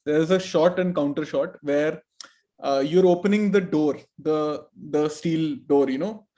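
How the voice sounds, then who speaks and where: High-pitched, thoughtful podcast host, male. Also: a little slow, warm tone. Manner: thoughtful, hesitant, searching for words. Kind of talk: reflective film discussion.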